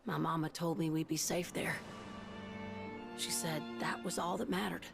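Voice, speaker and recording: A tough, warm-hearted female pilot in a video game. She speaks, informal, folksy and sincere. Music is clearly heard behind her. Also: low voice, steady tone, moderate pace. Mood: wistful, resolute. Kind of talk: game voice acting.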